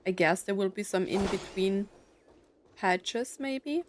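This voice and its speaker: A woman's voice: mid-range voice, slow pace, slight background noise. A young adult woman giving casual let's play commentary, musing aloud with jokey asides. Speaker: gaming streamer.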